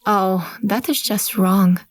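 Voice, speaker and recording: A woman's voice: medium pitch, fairly even intonation, takes it slowly, dry recording. An actress playing a practical, matter-of-fact young village mother in an audio drama. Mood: plain.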